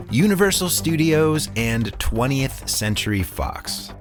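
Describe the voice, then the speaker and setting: Male documentary narrator, scripted and tongue-in-cheek, very varied intonation, clear music bed. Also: medium pitch.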